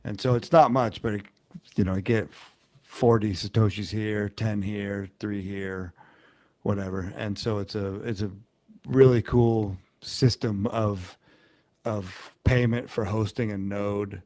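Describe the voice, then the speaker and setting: A man co-hosting a podcast, explaining at length in a rambling, halting way with many restarts. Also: fairly low pitch, steady tone, a little mellow, no echo. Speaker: podcast co-host. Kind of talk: casual chat.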